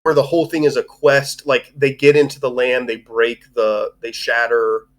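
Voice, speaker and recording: A man's voice: medium pitch, flat delivery, normal speed. Casual, rambling man reviewing a movie. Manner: rambling, informal, swears freely. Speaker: host of a live-streamed movie discussion. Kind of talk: casual chat, film review.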